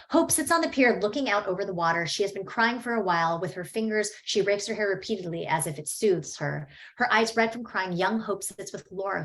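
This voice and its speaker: A woman reading a screenplay's stage directions aloud at a table read, steady and matter-of-fact. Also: on the low side, low-quality recording.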